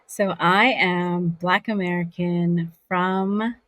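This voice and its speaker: Slow, relaxed woman from Southern California. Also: on the low side, no room sound. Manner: relaxed, unhurried, laughs easily. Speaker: guest, voice actor. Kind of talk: casual interview chat. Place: home in Scotland. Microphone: remote video call.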